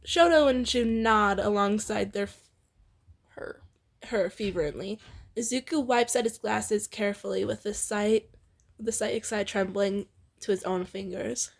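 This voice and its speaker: A woman's voice: medium pitch, unhurried, creaky. A woman reading fan fiction aloud in a casual way, often breaking into giggles and stumbling over the text.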